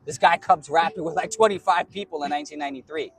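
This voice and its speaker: A man's voice: a little high, fast talker, fairly bright tone, slightly rough. A man from the Bronx with a New York accent, talking animatedly as an interview guest, sometimes half-singing his lines. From the Bronx, New York City. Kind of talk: casual interview.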